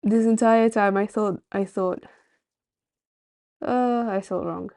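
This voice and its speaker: A woman's voice: mid-range voice, steady tone, slow, warm tone. A woman streamer chatting casually on a livestream while playing a story game.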